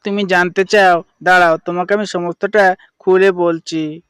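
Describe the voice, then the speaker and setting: A man's voice: sits high, fairly even intonation, normal speed, lightly acted. A man voicing a poor, greedy village husband and bird catcher in a cartoon story, eager and emphatic. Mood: placating.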